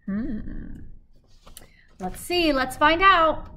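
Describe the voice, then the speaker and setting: A woman's voice: high voice, big pitch swings, drawn-out words, sharp tone. A young adult woman reading a children's picture book aloud like a teacher, expressively, with asides and questions to her young listeners. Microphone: device in front at about arm's length, speaking through a face mask.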